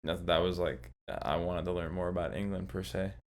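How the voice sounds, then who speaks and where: A man's voice: sits low, a little fast. A young man chatting casually as a podcast guest, laid-back, swearing a lot and filling his talk with slang. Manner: laid-back, swears often, lots of "like" and "bro". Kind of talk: casual chat.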